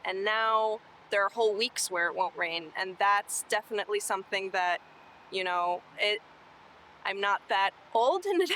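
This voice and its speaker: A woman's voice: mid-range voice, bright, forceful tone, slight background noise, rough recording. A young woman from Colombia who works on city sustainability, answering oral history interview questions earnestly and hopefully, with some fillers.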